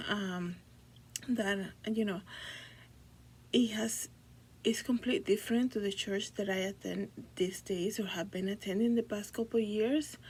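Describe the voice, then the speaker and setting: A woman's voice: medium pitch, slight background noise, poor audio. A woman from the Dominican Republic talking casually to her viewers as a video blogger, often searching for her words. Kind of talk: casual personal talk to viewers.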